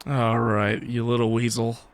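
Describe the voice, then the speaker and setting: Slow-talking, playful male game streamer. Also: mid-range voice, completely flat delivery. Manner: playful, muttering reactions. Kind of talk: casual live commentary while playing. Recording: game music clearly behind the voice.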